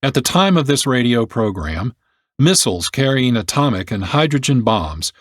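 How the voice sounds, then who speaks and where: A man delivers scripted documentary narration as a program host. He is solemn and deliberate, pausing between phrases, in a very dry recording. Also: medium pitch, no echo.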